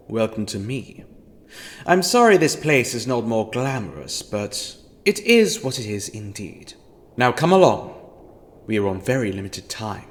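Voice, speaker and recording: An actor playing a scheming, theatrical male villain in a fan audio drama, talking to a captive in a grandiose, mock-apologetic monologue. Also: mid-range voice. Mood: condescending.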